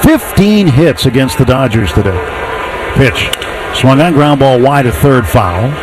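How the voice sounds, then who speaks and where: A man doing live baseball play-by-play for radio, with measured phrasing, pauses and clipped stat recaps. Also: medium pitch, lively intonation, noisy background. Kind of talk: live radio sports commentary.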